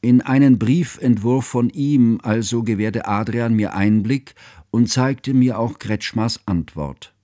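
An actor in a radio drama playing a devoted scholarly friend who narrates his memories in a measured, formal, reflective way. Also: mid-range voice, dark tone, dry recording, clean studio sound, natural voice. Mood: measured, recollecting.

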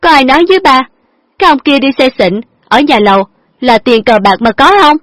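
A hot-tempered young woman, played by a female storyteller, fires off shrill retorts, pitched well above the reader's own voice and pushed loud. Her tone has a husky, breathy edge over faint background music in a dry, close recording. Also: no echo. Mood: angry scolding. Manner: shrill, scolding, fast retorts.

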